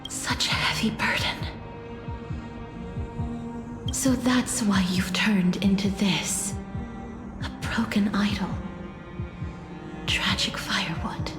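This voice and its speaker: Woman voicing a gentle sad goddess of death, soft n slow, formal, echoey w/ music under it. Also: mid-range voice, natural voice. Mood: sorrowful. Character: gentle, sorrowful female demigod of death. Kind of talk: dramatic game voice acting. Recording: echoing voice over music.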